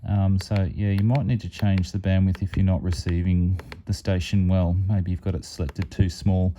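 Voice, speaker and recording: A man's voice: sits low, flat delivery, normal speed, mellow, a little hiss or hum. A man giving an informal, unscripted step-by-step product demonstration as a hobby reviewer.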